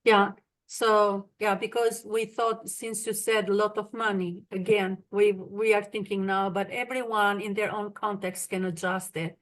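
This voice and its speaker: A woman's voice: medium pitch, fairly even intonation, a little slow, rough recording. A woman with an Albanian accent, a native Albanian speaker, moderating an online webinar and reading out audience questions over a video call. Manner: reads audience questions, apologetic about names.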